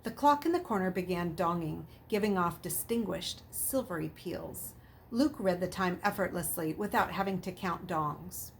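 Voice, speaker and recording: A woman's voice: on the low side, normal speed, gravelly, slight background noise. A woman reading a children's novel aloud as a storyteller, with dramatic, emphatic delivery.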